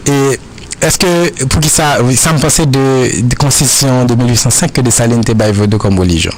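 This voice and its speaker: A man's voice: medium pitch, slight background noise, low-quality recording. Earnest Haitian man answering questions. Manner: earnest, repetitive, halting phrasing.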